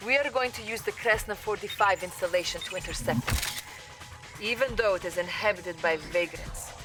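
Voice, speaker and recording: An actress playing a dutiful, earnest Soviet information officer with a Russian accent, giving video game mission briefings. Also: female voice, on the low side, lightly acted. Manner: crisp, formal, patriotic.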